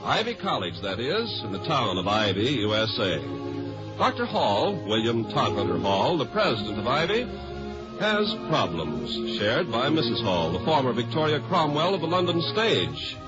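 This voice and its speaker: A man's voice: medium pitch, very animated, normal speed. A man with an American accent delivering scripted announcements in 1950s radio style. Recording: muffled old radio broadcast with music. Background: music.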